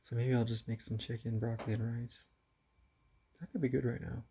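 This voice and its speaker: Quiet, gravelly young man, sighing. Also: mid-range voice, little pitch movement, slight background noise. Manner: quiet, subdued, halting and sighing. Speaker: vlogger. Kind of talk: confessional vlog to camera. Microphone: camera mic. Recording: dull lo-fi camera audio.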